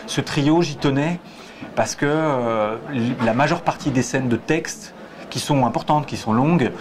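A man's voice: medium pitch, unhurried. A man in his forties, a stage director, answering interview questions thoughtfully with many restarts, seated in a theatre auditorium on a lapel mic. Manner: hesitant, many repeated starts, gestures while talking. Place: theatre auditorium, in a box seat. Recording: busy crowd chatter behind. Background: crowd chatter.

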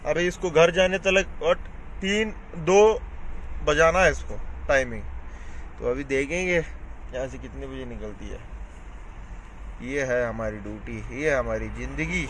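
A man from India, a house driver, chatting casually to viewers as he vlogs his day while driving around the city. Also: medium pitch. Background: faint traffic and street noise.

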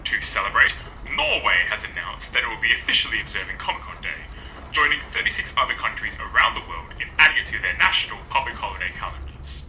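A brisk male radio announcer, played by an actor delivers upbeat entertainment news in an audio drama. His voice is very bright and forceful, with a lively swing in pitch. It comes through a muffled radio filter. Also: exaggerated voice. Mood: upbeat, announcing. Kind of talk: scripted entertainment news bulletin. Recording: muffled, echoing radio broadcast, faint noise.

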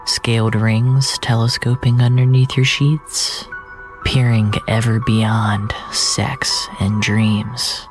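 A narrator delivering a scripted, surreal audio drama in a measured, dramatic way, often sinking into a breathy near-whisper. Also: medium pitch, level tone, soft background music.

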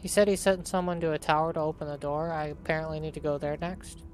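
A man's voice: fairly high pitch, level tone, quick pace. A man narrating a game playthrough and reading out player dialogue in a plain, casual way. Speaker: let's play narrator. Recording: faint game ambience and noise. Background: game ambience.

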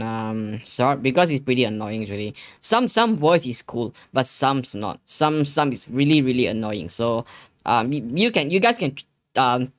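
A young adult man hosting a casual software tutorial, explaining in halting, repetitive phrases with non-native English wording. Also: medium pitch. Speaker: tutorial host. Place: living room gaming setup at home.

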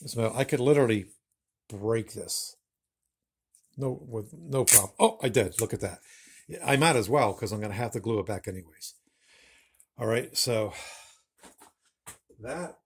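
A man's voice: mid-range voice, slight background noise. A man in his fifties hosting a woodworking channel, chatting in a rambling, self-correcting way while showing his work in a home woodshop, talking to a phone camera held at arm's length. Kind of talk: casual workshop demonstration. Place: home woodshop with a low ceiling.

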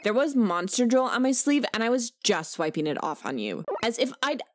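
A woman's voice: on the high side, very animated, a little fast, no room sound, studio quality. A woman voicing a haughty, defensive rich preteen girl who gets flustered, in a cartoon comic dub. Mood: aloof. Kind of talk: comic dub character acting.

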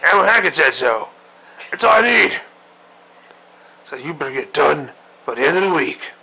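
A man voicing a crude, grumpy old male admiral who rants and swears in a gravelly voice. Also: a little high, animated, moderate pace, sharp tone, exaggerated voice, low-quality recording. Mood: ranting. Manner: profane, griping rant. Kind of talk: comedic parody monologue.

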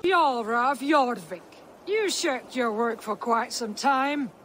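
A woman playing a wary, hard-bitten Norse mercenary in a game, skeptical and deliberate. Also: a little high, animated, fairly bright tone, soft background music. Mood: scornful. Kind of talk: dramatic game cutscene acting.

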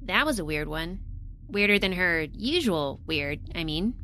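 An actress playing a blunt young crewmate on a spaceship in an audio drama. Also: female voice, fairly low pitch, animated, a little slow, bright, forceful tone, a little hiss or hum. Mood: bemused. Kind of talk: scripted audio drama acting.

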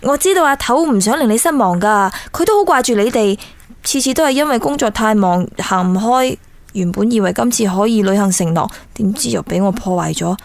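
An actress in her twenties playing an earnest, apologetic, lovestruck young woman in a radio drama, chatty and expressive. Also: mid-range voice, a little fast, gravelly, dry recording. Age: 20s. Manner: chatty, self-chiding, expressive. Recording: background music under studio drama dialogue.

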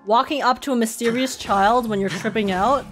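A young woman giving casual, expressive reaction commentary on a game, joking and using slang as she goes. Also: on the high side, moderate pace, faint music behind. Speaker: streamer reacting to a game story.

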